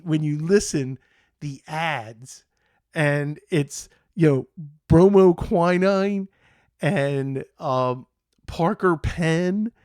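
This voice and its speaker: American male podcaster, middle-aged, slightly high pitch, slow meandering enthusiasm, close dry sound. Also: darkish tone, no room sound. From Philadelphia, United States. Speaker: show host. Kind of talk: casual podcast talk.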